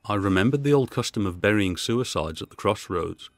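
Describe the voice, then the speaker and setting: A man's voice: fairly low pitch, lively intonation, fairly quick, no echo. A man reading a gothic horror story aloud as a dramatic audiobook narrator.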